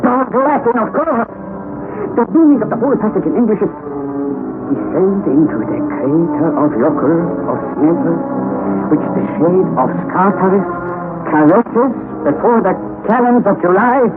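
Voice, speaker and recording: An eccentric older professor holds forth in theatrical vintage radio fashion. His voice is high and leaps about excitedly, domineering and obsessive. The sound is thin and tinny, with noise over it. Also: moderate pace, loud music behind the voice. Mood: triumphant. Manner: theatrical, declamatory, exclamatory. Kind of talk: vintage radio drama acting. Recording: tinny, noisy old radio recording.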